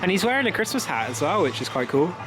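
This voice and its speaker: Young British man, higher-pitched voice, music behind. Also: big pitch swings, fast, low-quality recording. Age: young adult. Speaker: theme park vlogger. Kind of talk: casual vlog chat to camera. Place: outdoors in a theme park. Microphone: camera at arm's length. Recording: outdoor vlog with music behind.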